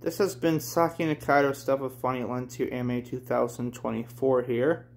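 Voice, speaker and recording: A man's voice: medium pitch, flat delivery, moderate pace, gravelly. A man hosting a casual read-along of his own story, talking in a blunt, offhand, rambling way. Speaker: host reading his own manga aloud.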